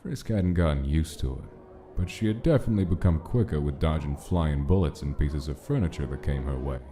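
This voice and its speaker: A man's voice: rumbling low, fairly quick, dark tone, faint music behind. A man reading scripted narration of a gritty gangster-era story in a comic dub. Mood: brooding, measured.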